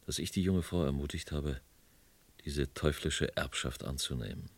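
An actor playing a remorseful police inspector, narrating his case gravely and deliberately in a 1970s radio crime drama. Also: extremely low pitch, lively intonation, no room sound, full character voice.

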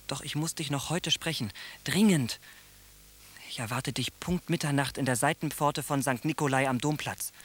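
Man playing a husky, earnest secret lover. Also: medium pitch, normal speed, lightly acted. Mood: secretive, affectionate. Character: tender, secretive male lover, earnest and protective. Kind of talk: intimate radio drama acting.

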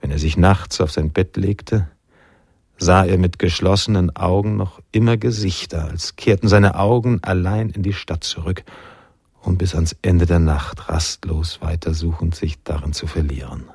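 A man narrating a literary radio drama in a measured, sombre manner, with a gravelly, husky voice. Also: low-pitched, steady tone, darkish tone, no echo, studio quality, heavily acted.

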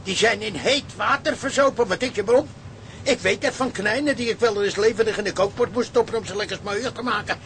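A man's voice: sits high, fairly quick, fairly bright tone, slight background noise. An actor playing a tactless, ghoulish crewman in a sea drama, chatting in a folksy way. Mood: chatty, morbid. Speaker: actor in a Dutch maritime drama.

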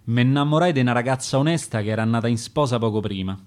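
A man's voice: medium pitch, fast. A man with a Roman accent reciting his own dialect sonnets for radio in a rhythmic, dramatic way. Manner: rhythmic, dramatic verse delivery.

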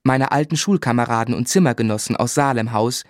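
An actor playing a courteous, affectionate young man who tells his life story in measured phrases in a radio play. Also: medium pitch, no room sound, studio quality, close to an everyday voice. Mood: reflective. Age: young adult. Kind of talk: literary first-person narration and radio play acting.

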